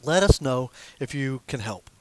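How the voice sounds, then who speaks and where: A man's voice: medium pitch, expressive, moderate pace, fairly bright tone, no echo, poor audio. A man in his sixties, a pastor giving a sermon at the pulpit of a small church, speaking in a conversational way and gesturing as he talks. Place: small church sanctuary with stained glass windows.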